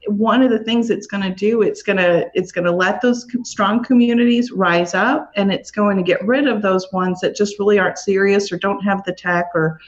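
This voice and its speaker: A woman's voice: medium pitch, steady tone, normal speed, hardly any pauses, highs cut off. A woman speaking as a guest in a casual interview, with an informal, conversational manner. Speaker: interview guest, community awareness team member.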